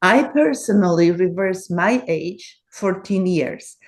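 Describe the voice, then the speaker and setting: A woman's voice: fairly low pitch, slow pace. A woman in her seventies from Poland with a Polish accent, answering interview questions earnestly with many fillers and restarts. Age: 70s. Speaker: guest author.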